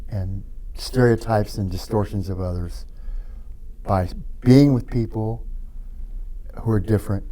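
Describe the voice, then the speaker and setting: A man with a mellow, dark-toned voice talks slowly and thoughtfully as a podcast guest. He restarts sentences and repeats words while working through an idea. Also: lowish voice, low-quality recording. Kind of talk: reflective conversation. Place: studio room.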